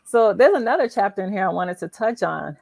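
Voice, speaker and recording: A middle-aged woman hosting a conversational interview in an animated, encouraging and emphatic way. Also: mid-range voice, brisk, vocal fry, no room sound. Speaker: show host.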